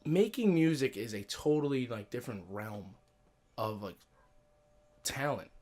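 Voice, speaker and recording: A young man hosting a casual podcast chat, excitable and swearing freely, often turning to speak straight to his listeners. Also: medium pitch, unhurried. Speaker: podcast host.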